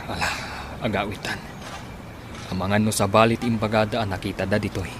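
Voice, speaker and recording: A man's voice: fairly low pitch, little pitch movement, a little fast, background noise, close to an everyday voice. A man playing a weary, doubtful husband. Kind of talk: radio drama acting.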